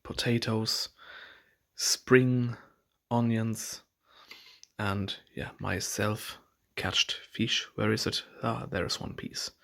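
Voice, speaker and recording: A man's voice: on the low side, steady tone, drawn-out words, vocal fry. A man whose first language is German, vlogging casually about his travels, with playful, jokey asides. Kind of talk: casual travel vlog narration. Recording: loud background noise.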